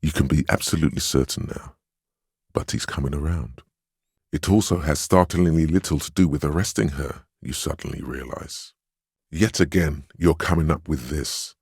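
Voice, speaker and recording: The inner voice of willpower in a video game. It is an extremely deep, slightly husky male voice, stern and weary, recorded dry and close. It narrates and admonishes deliberately, with grave, wry protectiveness. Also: a little mellow, no echo, exaggerated voice. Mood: exasperated, grave. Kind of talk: dramatic second-person game narration and inner-voice dialogue.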